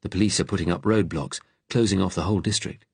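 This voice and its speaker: A man voicing a kindly, weary vicar in an audiobook, gentle but direct and firm. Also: deep voice, quick pace, gravelly, husky, no echo, exaggerated voice. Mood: gentle, steady. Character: kindly, weary male vicar, calm and firmly commanding.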